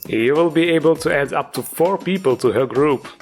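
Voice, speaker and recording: A man with a fairly high-pitched voice hosts a gaming review. His scripted narration is playful and tongue-in-cheek, carried over background music. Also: normal speed. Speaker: gaming video host.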